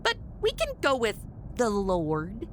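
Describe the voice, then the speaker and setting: An actress playing a sassy, flippant young influencer in a radio comedy, sarcastic and full of modern slang. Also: female voice, medium pitch, huge pitch swings, slow, a little hiss or hum. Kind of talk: comedic radio-play acting.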